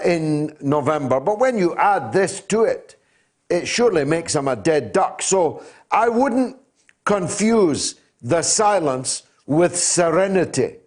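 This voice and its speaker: A man hosting a call-in radio show, giving emphatic opinions with measured, deliberate pauses. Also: on the high side, a little mellow. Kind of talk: opinionated talk radio commentary.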